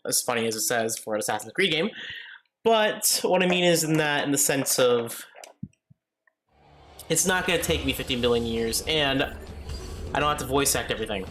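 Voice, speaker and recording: A sick, slightly hoarse man rambling through casual commentary on a gaming livestream, full of fillers and sudden outbursts. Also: mid-range voice, expressive, moderate pace, fairly bright tone, soft background music.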